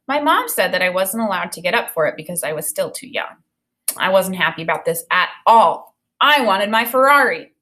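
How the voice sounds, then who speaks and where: A woman in her thirties, an elementary teacher, reading a children's novel aloud and explaining it in an expressive way. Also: medium pitch. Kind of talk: read-aloud of a children's novel with think-aloud commentary. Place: small indoor room with bookshelf and whiteboard.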